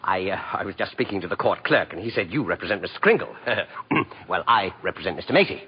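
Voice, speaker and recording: An actor playing a nervous, fawning store employee with a stammering, obsequious manner in a 1940s radio drama. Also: male voice, low voice, animated, fast, husky, full character voice. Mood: ingratiating. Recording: muffled 1940s radio broadcast.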